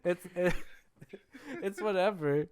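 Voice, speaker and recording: Young man, podcast host, casual gaming chat, animated storyteller, self-deprecating. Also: sits high, slow. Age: young adult. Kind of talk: casual chat about video games.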